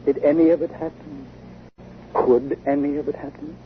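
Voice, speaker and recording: An actor playing an earnest, anguished adventurer in a dramatic, intense 1950s radio drama style, on a muffled vintage recording. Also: male voice, a little high, very animated, normal speed, gravelly, soft background music. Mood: somber, bewildered. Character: earnest, anguished adventurer telling his time-travel tale.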